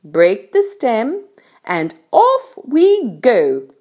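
A woman voicing a kind, gentle ladybird in a storybook, explaining things in an assured, reassuring way. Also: fairly high pitch, huge pitch swings, very slow, voice pushed up, full character voice.

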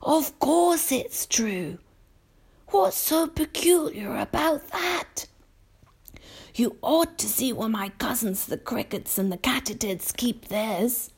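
An elderly gentleman grasshopper from a children's storybook, acted by a woman. The voice is lifted high and drawn out slowly. He is genteel and gently proud, explaining things in an old-fashioned way. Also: lively intonation, slightly rough, exaggerated voice. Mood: gentle, teasing. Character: old male green grasshopper, gentle, courteous, proud violinist.

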